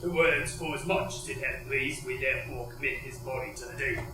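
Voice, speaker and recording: An actor playing a solemn witness, delivering a measured, poetic theatrical monologue. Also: male voice, medium pitch, fairly even intonation, noisy background, close to an everyday voice. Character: solemn male witness narrating burials at sea. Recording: echoey, distant, loud music behind.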